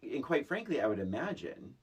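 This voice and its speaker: A woman with a strikingly low, raspy voice co-hosts a casual podcast conversation. Her pitch swings widely as she makes emphatic points and repeats phrases. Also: very animated, fairly quick, darkish tone. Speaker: podcast co-host. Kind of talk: casual chat reviewing a film.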